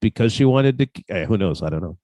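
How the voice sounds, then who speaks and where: A man's voice: fairly low pitch, animated, quick pace, dark tone, slight background noise. Man thinking aloud in casual group chat. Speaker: cast member in a post-show discussion.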